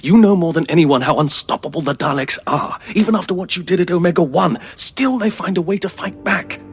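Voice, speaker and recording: A man's voice: high-pitched, brisk, breathy edge, soft background music. A man playing an earnest, zealous alien peace negotiator in an audio drama, speaking in impassioned, persuasive oratory. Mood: probing, persuasive.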